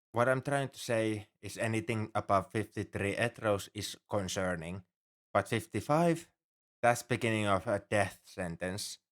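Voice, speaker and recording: A man's voice: low-pitched, fairly bright tone. A man playing an anxious, overprotective alien crewmate in an audio roleplay, speaking formally and earnestly in a fretful, easily flustered way. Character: anxious, paranoid male alien crewmate fussing over human. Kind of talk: ASMR roleplay audio drama.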